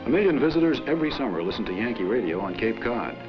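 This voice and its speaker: A man reading scripted 1950s promotional film narration in a measured, formal announcer style on a vintage soundtrack. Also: mid-range voice, sing-song, rough recording. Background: background music.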